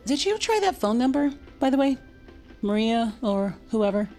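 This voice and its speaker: A woman's voice: a little high, sing-song, normal speed, dark tone, faint music behind. A woman playing a concerned, persistent mother in an audio drama. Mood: curious.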